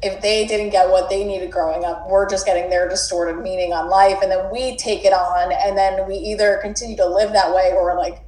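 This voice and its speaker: A woman's voice: medium pitch, fairly even intonation, a little fast, few pauses, dark tone, echoey room, rough recording. A woman in her mid-twenties, from the United States, talking candidly in a casual chat, swearing freely and filling her talk with "like". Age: 20s.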